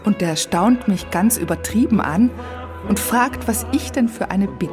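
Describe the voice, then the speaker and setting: A woman's voice: medium pitch, a little slow, music in the background. A woman narrating a radio feature in the first person as a fairy, in a wry, musing way. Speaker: narrator playing a wish-granting fairy.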